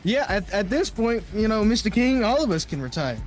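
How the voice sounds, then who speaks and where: A man's voice: sits high, sing-song, a little fast. A man bantering casually in online roleplay, brash, sarcastic and taunting. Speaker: roleplay gamer.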